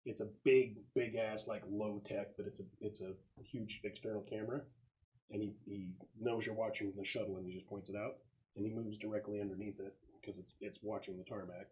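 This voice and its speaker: A man working as game master, improvising narration in a halting, casual and sometimes profane way. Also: on the low side, steady tone, a little mellow, lo-fi sound.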